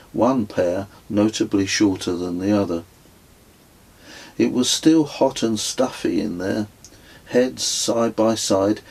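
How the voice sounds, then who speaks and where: A man reading his own literary travel story as scripted narration, in a measured, descriptive way. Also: fairly low pitch, darkish tone, rough recording. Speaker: author reading own book.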